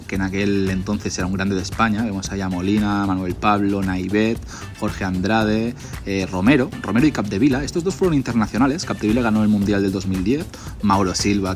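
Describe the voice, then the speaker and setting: A young man talks in a relaxed, rambling way, cursing now and then as he reminisces. His voice sits fairly low. Music plays clearly behind him throughout. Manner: nostalgic, rambling, swears freely. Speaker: sticker album collector host. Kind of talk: casual chat flipping through an old album.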